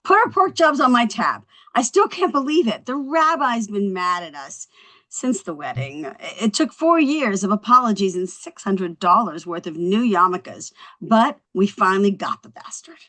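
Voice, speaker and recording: Woman doing a cheeky young wife, super animated pitch swings, sure of herself, comedy read. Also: normal speed. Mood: triumphant, cheeky. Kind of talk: scripted comedy table read.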